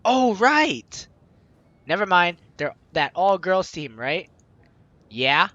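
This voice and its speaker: High-pitched, bright young man doing game commentary. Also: very animated, slow, no room sound, highs cut off. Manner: informal, jokey, chatty asides. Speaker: host of a gaming let's play series. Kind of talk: let's play commentary, reading game text aloud. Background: game music.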